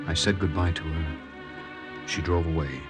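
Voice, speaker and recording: A low-pitched, earnest military man in a vintage 1940s radio drama. He speaks gravely and with measure, with music clearly playing behind him. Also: steady tone, moderate pace. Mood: reflective. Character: earnest male wartime underground lieutenant narrating his mission. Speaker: actor and first-person narrator.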